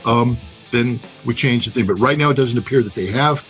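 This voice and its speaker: Male political analyst, medium pitch, blunt, emphatic, conversational, repetitive phrasing, faint music underneath. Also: brisk, a bit bright.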